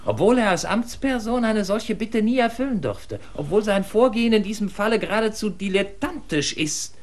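An official in a radio play who explains procedures at length. His measured, fairly high voice turns urgent and insistent in stretches. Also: lively intonation. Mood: explaining. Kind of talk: radio drama acting.

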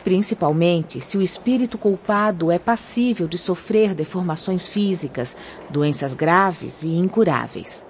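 A woman's voice: on the low side, a little slow, a little mellow. A woman playing a kindly young spirit guide in a radio drama, formal, gracious and instructive. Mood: composed, gracious. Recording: dull, faintly noisy audio.

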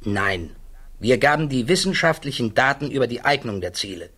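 A man playing a thoughtful, troubled physicist testifying at a hearing in a 1960s German radio play, answering in measured, precise, guarded words. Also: medium pitch, animated, pushed and bright, slightly rough.

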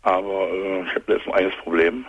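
Deep gravelly guy in his 40s, hoarse with a cold, muffled phone line. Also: steady tone, no echo. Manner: halting, many fillers and repeated words. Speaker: caller. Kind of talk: phone-in call.

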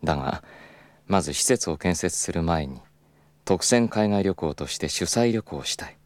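An actor playing a smooth, persistent, self-assured club executive in a Japanese audio drama, speaking politely and with unhurried insinuation. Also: male voice, deep voice, animated, no echo. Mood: suave, persuasive. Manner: polite, unhurried, insinuating formal business speech.

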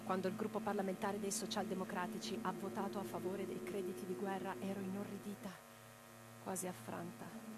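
A woman playing an imprisoned, defiant revolutionary in a radio theatre monologue, reading her letters with theatrical, deliberate phrasing. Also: medium pitch, close to an everyday voice. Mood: grave, calm. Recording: clearly noisy track with mains hum.

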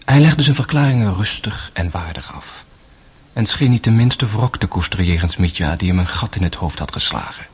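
A man narrating a scripted radio drama in a measured, literary storytelling manner. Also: deep voice, lively intonation, husky.

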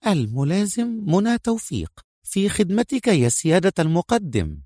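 A man voicing a soft-spoken, proud young woman intelligence officer in an audiobook. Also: high-pitched, fairly quick, no echo, clean studio sound, voice pushed up. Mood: hushed, playful. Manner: hushed, breathy whisper at times, calm. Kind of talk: acted audiobook dialogue.

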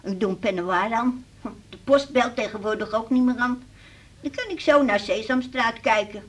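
Casting: actress for cantankerous, muddled woman in her eighties, rough husky voice, cheeky rambling delivery, radio play. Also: on the high side, moderate pace, lightly acted. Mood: grumbling. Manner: contrary, rambling, blunt. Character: stubborn, forgetful 83-year-old mother, cheeky and rambling. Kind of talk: 1980s Dutch radio drama acting.

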